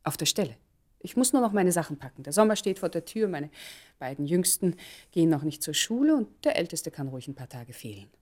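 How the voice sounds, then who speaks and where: An actress in a German radio play playing a poised, determined mother, speaking emphatically and deliberately. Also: deep voice, big pitch swings, a little mellow. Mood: resolute. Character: poised, determined mother resolving to test her lover.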